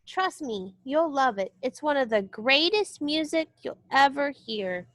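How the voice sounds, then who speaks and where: A woman's voice: high-pitched, animated, unhurried, fairly bright tone, no echo. A woman voicing a reassuring, knowledgeable storybook companion at a concert, speaking patiently.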